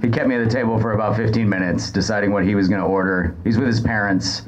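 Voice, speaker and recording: An American man telling stories on a comedy podcast in a sardonic, halting, casual way. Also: on the low side, steady tone, low-quality recording. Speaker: podcast guest storyteller. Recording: live crowd in background.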